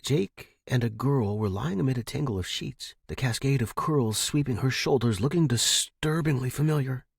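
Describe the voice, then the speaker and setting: A man's voice: medium pitch, moderate pace, gravelly, few pauses, husky, no room sound, clean studio sound. A man reading a scripted fiction story as its narrator.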